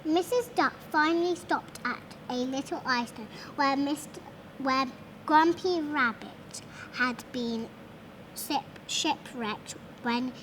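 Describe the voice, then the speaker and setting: A little girl of about five reads a storybook aloud in a high voice. She goes slowly and haltingly, working through words with care. There is clear background noise on the amateur recording. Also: a bit bright, low-quality recording. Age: child, about five. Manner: halting, sounding out words carefully. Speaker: young reader. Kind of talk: reading a children's storybook aloud.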